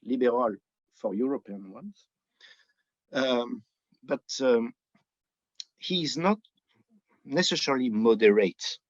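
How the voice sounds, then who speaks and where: Muffled, dry audio. Male interview guest, native French speaker with French accent, conversational, halting English. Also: mid-range voice, no echo. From France. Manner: halting, searching for words in a second language. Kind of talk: conversational political explanation. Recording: dull, band-limited audio.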